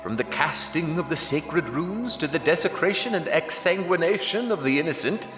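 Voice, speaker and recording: An actor playing a gleefully macabre horror narrator, ominous and theatrical, introducing a scripted radio drama. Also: male voice, a little high, expressive, moderate pace, close to an everyday voice. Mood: gleefully sinister. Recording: cavernous reverb with music behind.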